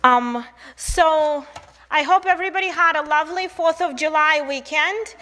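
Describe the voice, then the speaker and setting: High, bright-voiced woman with a Russian accent. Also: normal speed. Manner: conversational, uses rhetorical questions and tag "right?". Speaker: researcher presenting at a conference. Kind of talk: conference lecture.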